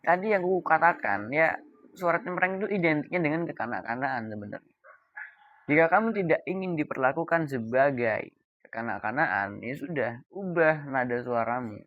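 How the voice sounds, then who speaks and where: A young adult man giving an informal coaching talk, deliberate and emphatic, pausing between key words. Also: a little high, lively intonation, no echo, highs cut off. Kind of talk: informal explainer talk to camera.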